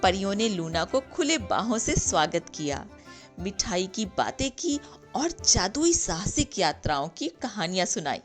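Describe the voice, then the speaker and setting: A woman narrating a bedtime fairy tale in a gentle, measured reading style. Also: medium pitch. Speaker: storyteller. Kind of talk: slow scripted bedtime story narration. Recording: narration over clear background music.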